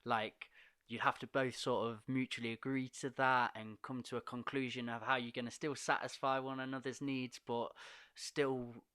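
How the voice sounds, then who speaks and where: A man hosting a podcast, thinking out loud in a reflective way. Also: mid-range voice, flat delivery, moderate pace, clean tone, dry recording. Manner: reflective, lots of agreeing murmurs. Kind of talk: casual podcast chat.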